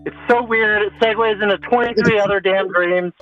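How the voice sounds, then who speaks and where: A man's voice: high-pitched, steady tone, normal speed. A man hosting a podcast in casual, slangy sports banter, often repeating phrases in a bro-ish way. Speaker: podcast host. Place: remote phone call. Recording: tinny phone line, faint music.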